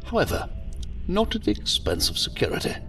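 A man's voice: mid-range voice, wildly swooping pitch, brisk, a bit bright, recorded from a distance, faint music behind. Imperious, wildly swooping male ambassador. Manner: formal, clipped orders with wry flourishes. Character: commanding male ambassador, imperious, theatrical, dryly witty. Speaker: ambassador commanding operatives. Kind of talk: science fiction audio drama acting.

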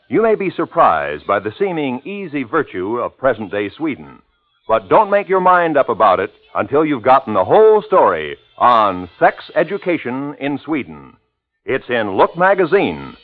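A male commercial announcer with a mid-pitched, very animated delivery. He reads persuasively in a scripted 1950s radio advertising style. The recording is tinny and narrow. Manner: rhetorical questioning, persuasive.

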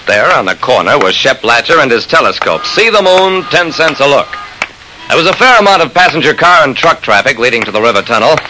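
A man's voice: mid-range voice, very animated, a little fast, music in the background, lightly acted. An actor playing a shrewd, persistent private investigator narrating his case in an old-time radio drama, with clipped, hard-boiled delivery. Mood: measured, matter-of-fact. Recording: noisy vintage radio recording.

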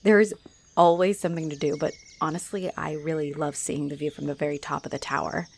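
A woman's voice: deep voice, steady tone, fairly quick, darkish tone. A woman playing a friendly, teasing, flirty female halfling orc adventurer in a roleplay audio addressed to the listener. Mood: eager, friendly. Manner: teasing, chuckling, coy. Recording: noticeable noise behind the voice.

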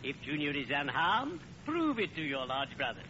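A man's voice: on the high side, wildly swooping pitch. A very noisy, muffled old radio recording. a lugubrious, unflappable gent who draws out his words with solemn, swooping drollery. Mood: droll solemnity. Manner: slow, solemn, drawn-out delivery. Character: lugubrious, unflappable, genteel male friend, morbidly droll. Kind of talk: 1940s radio comedy acting.

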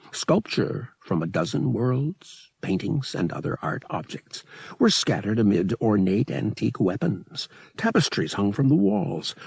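A man reading a scripted audiobook story as narrator, in a deliberate, expressive, dramatic storytelling manner. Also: medium pitch, creaky, breathy edge, dry recording.

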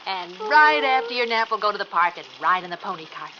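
A woman's voice: mid-range voice, expressive, quick pace, natural voice. An actress playing an affectionate, easily flustered young American mother in an old-time radio drama. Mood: fond, bustling. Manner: motherly coaxing and chiding. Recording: dull, noisy old radio recording.

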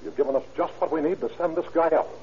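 An actor playing an impatient, hard-nosed police detective with a brusque, pressing manner in an old-time radio drama. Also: male voice, a little high, steady tone, very fast, mellow, close to an everyday voice. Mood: businesslike. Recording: dull, noisy vintage radio recording.

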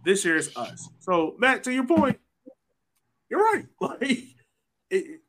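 A man's voice: high-pitched, huge pitch swings, slow, fairly bright tone. A man on a casual sports podcast panel, talking in a blunt, colloquial, jokey way. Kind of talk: casual soccer discussion.

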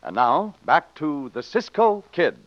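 A man's voice: on the high side, very animated, measured pace. A man narrating as a dramatic old-time radio announcer. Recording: dull vintage radio recording. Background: organ music.

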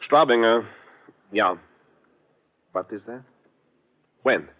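An actor playing an earnest, methodical German police chief in an old radio drama, formal and deliberate, with a German accent. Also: male voice, fairly low pitch. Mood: grave, businesslike. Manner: formal, deliberate, measured phrasing. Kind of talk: 1950s radio drama acting. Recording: old radio recording, faint noise.

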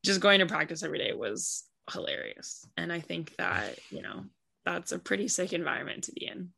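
Gravelly, bright-toned woman chatting casually. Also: lowish voice, a little fast, slight background noise. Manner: relaxed, filler-heavy speech with many "like" and "you know". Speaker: professional soccer player, interview guest. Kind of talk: casual interview answers.

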